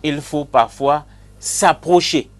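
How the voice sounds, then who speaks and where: A man's voice: fairly high pitch, slow. Guy on a panel, stumbles on words, correcting stats. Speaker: panel guest. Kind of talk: televised panel debate.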